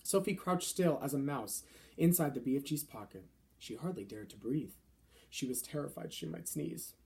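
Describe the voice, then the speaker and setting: A man's voice: fairly high pitch, lively intonation, moderate pace, vocal fry, warm tone, a little hiss or hum. A man reading a children's novel aloud as scripted narration. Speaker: storybook reader.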